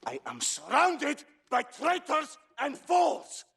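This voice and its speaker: An actor playing a menacing, volatile warlord commander with a gravelly, threatening delivery, in a game cutscene. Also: male voice, very high voice, huge pitch swings, noisy background, exaggerated voice. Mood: raging. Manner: slow, threatening. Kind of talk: video game cutscene acting. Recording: loud dramatic game music behind.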